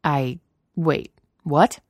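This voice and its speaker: A flustered young man stumbles over his words in guilt, as a woman reads him in her own voice. The tone is low and dark, close and dry with no echo. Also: extremely slow, exaggerated voice. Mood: flustered, guilty.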